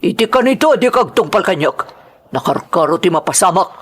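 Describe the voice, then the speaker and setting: A man's voice: high-pitched, animated, brisk, a bit bright, husky, heavily acted. A man playing an arrogant, bullying male coworker who taunts and threatens, in an audio drama. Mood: taunting.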